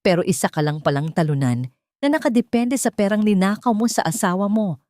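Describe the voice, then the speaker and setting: A woman's voice: medium pitch, expressive, a little fast, mellow, no echo, clean studio sound, voice pushed up, natural voice. A woman voicing a bitter, scornful young mistress in a story drama, biting and disdainful. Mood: bitter contempt.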